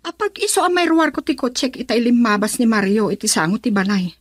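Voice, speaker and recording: Woman acting a high-pitched, irritable Ilocano daughter. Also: quick pace, no room sound, studio quality, close to an everyday voice. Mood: exasperated. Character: irritable, jealous young woman, a complaining daughter. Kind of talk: radio drama acting.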